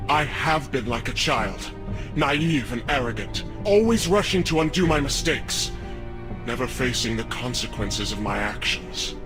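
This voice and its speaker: A man's voice: medium pitch, animated, unhurried, natural voice. Intense young prince played by a man, music behind. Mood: resolute remorse. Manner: earnest, intense, resolute. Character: proud, troubled young warrior prince. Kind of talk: video game hero acting. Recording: music under the voice.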